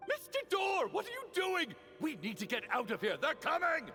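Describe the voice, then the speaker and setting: An actor playing an anxious, talkative young travelling companion in a video game, fretful and full of exclamations. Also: male voice, very high voice, wildly swooping pitch, normal speed, bright, forceful tone, long reverb tail, soft background music, exaggerated voice. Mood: panicked, urgent.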